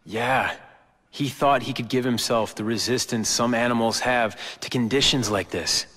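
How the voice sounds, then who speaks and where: A man's voice: mid-range voice, moderate pace, fairly bright tone, slightly rough. A man with an American accent voicing an embittered, brooding young corporate heir in a video game cutscene. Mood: somber. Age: young adult. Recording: long hall-like reverb. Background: background music.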